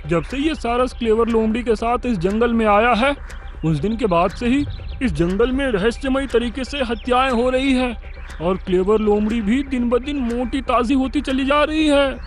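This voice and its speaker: A man's voice: very high-pitched, normal speed. A man voicing a worried, suspicious elephant in a children's cartoon, pleading in an anxious, deferential way. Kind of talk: children's cartoon character acting.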